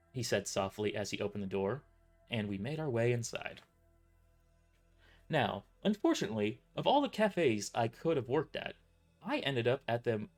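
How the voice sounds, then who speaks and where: A man narrating a scripted first-person story in an informal way, stumbling and correcting himself as he reads. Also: medium pitch. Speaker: author narrating own novel.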